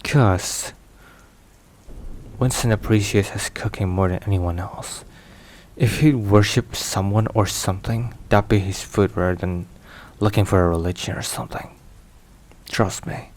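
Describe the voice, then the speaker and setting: A man playing a weary, caring mafia boss boyfriend in an ASMR roleplay, hushed and sighing between phrases. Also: lowish voice, unhurried. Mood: relaxed, caring.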